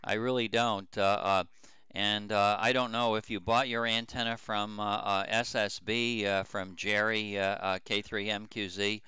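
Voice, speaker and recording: Clear, bright, low-voiced older man. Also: flat delivery, no echo. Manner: halting, repetitive, explanatory. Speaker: amateur radio operator. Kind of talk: unscripted ham radio chat.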